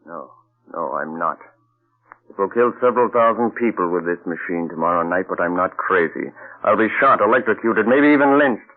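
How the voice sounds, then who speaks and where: An actor playing a cold, measured male mad scientist who threatens quietly, in an old radio drama heard as a tinny broadcast. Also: low voice, lively intonation. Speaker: villain inventor. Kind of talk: 1940s radio acting.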